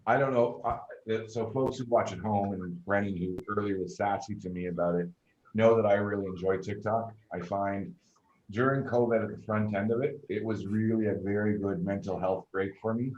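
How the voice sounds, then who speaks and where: A man's voice: lowish voice, fairly even intonation, normal speed, a little mellow, dull audio. Enthusiastic man host in an echoey room. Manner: enthusiastic, gushing. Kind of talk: casual video interview.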